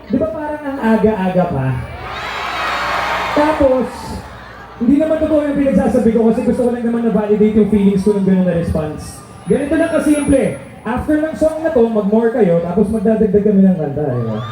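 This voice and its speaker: Filipino guy fronting a band, high voice but really muffled dark sound, playful stage banter, hyping everyone up. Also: sing-song, fast. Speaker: band frontman addressing a concert audience. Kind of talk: casual stage banter between songs. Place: live concert stage. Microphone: stage microphone through PA. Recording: echoey live concert PA, crowd noise.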